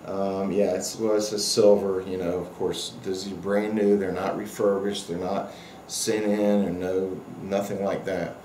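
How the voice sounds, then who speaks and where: Male hobbyist reviewer, lowish pitch, casual and unscripted, rambling delivery, roomy echo. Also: little pitch movement, mellow. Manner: rambling, halting delivery with afterthoughts. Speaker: product reviewer and radio hobbyist. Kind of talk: casual unscripted product demonstration. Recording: echoey room with faint background noise.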